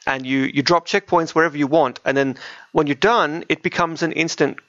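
A man's voice: a little high, steady tone, a little fast, fairly bright tone. A man co-hosting a podcast, chatting casually about video games in a chatty, dryly joking way. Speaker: podcast co-host. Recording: muffled, roomy home recording.

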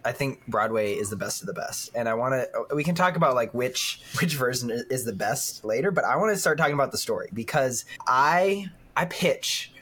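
A man hosting a casual podcast discussion, thinking aloud in a halting way. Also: mid-range voice, expressive, a bit bright, breathy edge. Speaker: podcast host leading the discussion.

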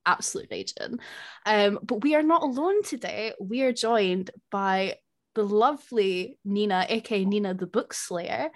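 A woman's voice: mid-range voice, expressive, a little slow. A woman co-hosting a podcast in casual, laughing chat that drops swear words freely. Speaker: podcast co-host.

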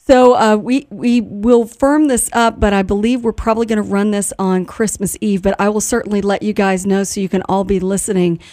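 A woman's voice: medium pitch, fairly even intonation, a little fast, hardly any pauses, mellow, dry recording. Woman radio host, close dry sound. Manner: effusive, complimentary. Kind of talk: conversational radio interview.

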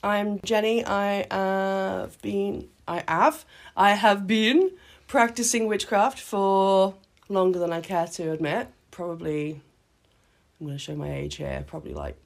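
A woman in her late thirties from Britain, hoarse with a cold, chatting casually as a podcast co-host and often restarting her sentences. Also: mid-range voice, fairly even intonation, unhurried. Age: late 30s. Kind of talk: casual chat. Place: sitting on the floor in a home play fort.

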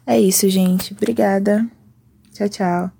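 A woman's voice: medium pitch, steady tone, takes it slowly, vocal fry, mellow, husky. A Brazilian woman, an online video creator, talking informally and earnestly about her own work.

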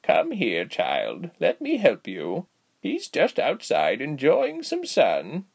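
Man putting on a cheery, high-pitched old healer woman. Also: wildly swooping pitch, creaky, slightly rough, exaggerated voice. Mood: motherly. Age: older. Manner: slow, drawling, kindly teasing delivery. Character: cheerful, giggly elderly village healer woman. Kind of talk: storybook character voice.

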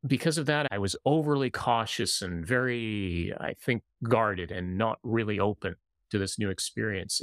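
Gravelly, measured male podcast host. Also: on the low side, dry recording, clean studio sound. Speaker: podcast host and intercultural coach. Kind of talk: reflective scripted monologue and interview intro.

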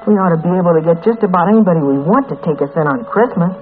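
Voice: mid-range voice, lively intonation, rapid-fire, natural voice. A child-voiced actor playing an earnest, responsible orphan boy pleading anxiously, in old-time radio drama style. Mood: determined, urgent. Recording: old scratchy narrow-band radio recording.

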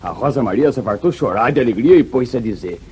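A chatty brazilian crook's lackey, old radio drama, really husky, medium pitch, greedy and jumpy. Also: male voice, animated, fairly quick, darkish tone. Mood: eager. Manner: colloquial, eager informer. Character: chatty, greedy male henchman of an outlaw, easily scared. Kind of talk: old radio serial acting. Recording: rough vintage radio drama recording.

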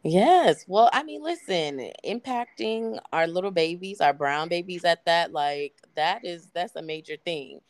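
A young woman hosting a podcast in casual interview chat, lively, slangy and effusive. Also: fairly low pitch, a little slow, hardly any pauses. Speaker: podcast host.

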